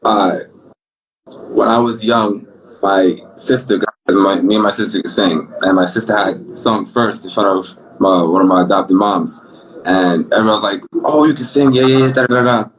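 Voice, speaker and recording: A young man with a Brooklyn accent, an R&B singer talking about his life in a relaxed, rambling, slangy way. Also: lowish voice, moderate pace, poor audio. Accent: Brooklyn New York. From Brooklyn, New York. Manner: laid-back, rambling, slangy. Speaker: singer guest. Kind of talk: casual interview talk.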